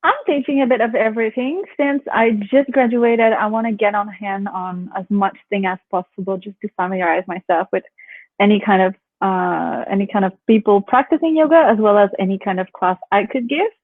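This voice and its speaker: A woman's voice: mid-range voice, brisk, no echo. A young woman from Canada, a yoga instructor, chatting casually as a guest with a relaxing voice; she laughs often and acts out what other people say. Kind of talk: casual interview chat. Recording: phone-like call audio.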